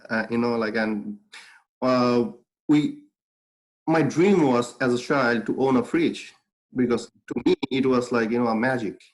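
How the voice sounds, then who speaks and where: A young man from Bangladesh who grew up speaking Bengali talks through his life story as an interview guest. His voice sits at an ordinary middle pitch, and his delivery is earnest and reflective, full of fillers and restarts. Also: low-quality recording. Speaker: guest, clothing brand founder.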